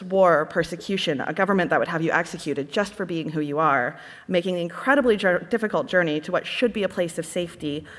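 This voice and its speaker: A woman's voice: lowish voice, fairly bright tone. Canadian woman giving an impassioned hall speech. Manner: earnest, impassioned. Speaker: conference delegate. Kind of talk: conference speech. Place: large conference hall. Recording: reverberant hall.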